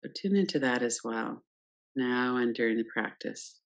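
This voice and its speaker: A woman teaching guided meditation, speaking calmly and gently in short phrases with pauses between them. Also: rumbling low, gravelly. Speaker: yoga nidra meditation teacher. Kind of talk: guided meditation, unscripted instruction.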